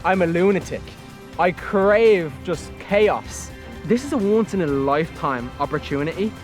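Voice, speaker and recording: Cheeky Irish man, high voice. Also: lively intonation, a little slow, soft background music. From Ireland. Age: 20s. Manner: cheeky, playful bravado. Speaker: reality show contestant. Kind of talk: cast introduction interview.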